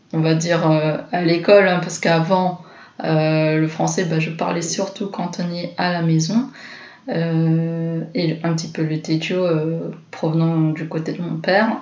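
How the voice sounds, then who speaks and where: A French woman in her thirties talks slowly and casually, pausing often. Her voice sits a little low, and the recording sounds roomy and slightly dull. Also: darkish tone. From France. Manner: unhurried, with frequent hesitations. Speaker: SEO consultant and vlogger. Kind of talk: casual self-introduction to camera.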